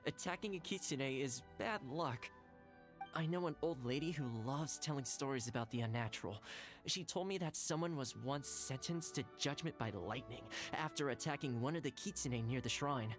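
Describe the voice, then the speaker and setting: A woman voicing a cheerful, easygoing young companion in a video game, chatty, friendly and considerate. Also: low voice.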